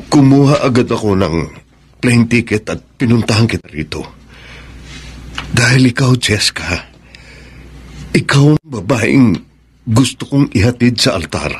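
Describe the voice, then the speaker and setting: A Filipino actor with a husky voice playing an earnest, devoted lover in a romantic radio drama. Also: male voice, fairly low pitch. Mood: ardent. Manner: earnest, pleading. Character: earnest, devoted male lover explaining himself.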